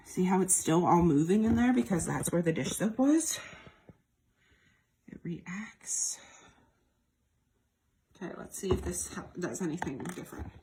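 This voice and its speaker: A woman's voice: on the low side, dark tone. A woman presenting a children's lesson in a sing-song way, speaking directly to young viewers and prompting them as she goes. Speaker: children's storytime presenter at a library. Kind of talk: children's lesson with repetition and demonstration.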